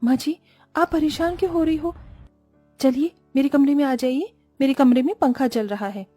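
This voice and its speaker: A woman's voice: sits high, moderate pace, mellow, no echo, soft background music, pitched above the performer's own voice, natural voice. Woman as a sweet patient young bride. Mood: gentle. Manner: sweet, respectful, mild. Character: gentle, patient young daughter-in-law.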